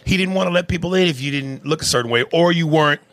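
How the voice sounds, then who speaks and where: A man's voice: a little high, fast, no room sound. A man with a Canadian accent, co-hosting a casual talk show, reading listener comments and answering with blunt, profane rants. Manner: blunt and profane, rising into loud emphatic rants. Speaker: podcast co-host.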